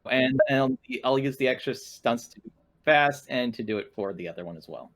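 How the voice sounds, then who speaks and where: A man's voice: medium pitch, animated, brisk, fairly bright tone. A man chatting casually as a player in a tabletop roleplaying game.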